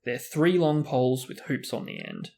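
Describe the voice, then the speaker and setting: A man voicing a tired schoolboy hero, flat and resigned as he explains things. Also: mid-range voice, normal speed, creaky.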